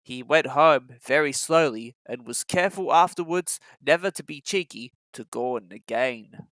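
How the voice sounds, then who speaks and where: A narrator reading a children's story aloud in an expressive, scripted style. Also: mid-range voice, normal speed, no room sound, low-quality recording.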